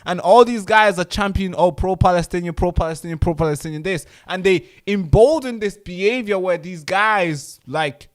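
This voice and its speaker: A man hosting an online talk show, speaking in a loose, emphatic way and laughing at his own remarks. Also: on the high side. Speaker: host. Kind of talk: casual interview talk.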